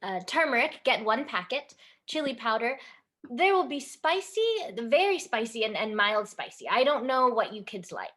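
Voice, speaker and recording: A woman's voice: fairly high pitch, very animated, fairly bright tone. A woman playing a stern, traditional middle-aged Indian mother in a staged reading, speaking firmly and instructively. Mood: brisk, instructive. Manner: firm, halting, instructive. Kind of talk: staged reading over video call.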